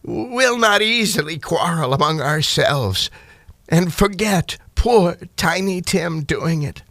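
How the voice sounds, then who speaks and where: A man playing a tender, tearful father, halting and emotional, in radio theater style. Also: on the high side, lively intonation, creaky, a bit bright, no echo, clean studio sound, voice pushed up, exaggerated voice. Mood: tearful tenderness. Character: tender, tearful family father in a Victorian tale.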